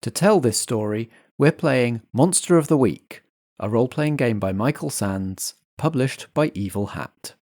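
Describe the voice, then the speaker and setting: A man's voice: medium pitch, animated, a little slow, darkish tone, no room sound, studio quality. A man delivering a scripted introduction as the host and compere of a show.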